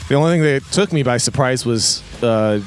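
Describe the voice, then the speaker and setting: Halting male podcast co-host, music behind. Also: medium pitch. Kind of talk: casual podcast chat.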